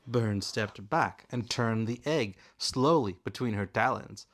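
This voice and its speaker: A man narrating a storybook aloud in a deliberate, expressive, dramatic way. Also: lowish voice. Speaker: narrator, father reading aloud.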